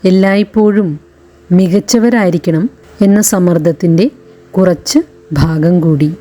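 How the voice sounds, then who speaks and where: Slow, mellow woman guiding a meditation. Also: lowish voice. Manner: gentle, soothing, short phrases with long pauses. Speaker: meditation guide. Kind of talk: guided meditation narration. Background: background music.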